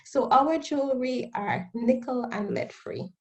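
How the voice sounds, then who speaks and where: A woman in her thirties from Guyana with a Guyanese accent, a guest on a radio interview explaining her new business in an earnest, steady way. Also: high voice, a little slow. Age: 30s. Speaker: guest entrepreneur.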